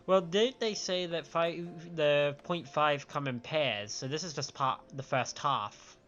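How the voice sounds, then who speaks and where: A man's voice: fairly high pitch, a little slow, a bit bright, lo-fi sound. A man on a live game stream, reading dialogue aloud with stumbles over the words and reacting to it. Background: game music.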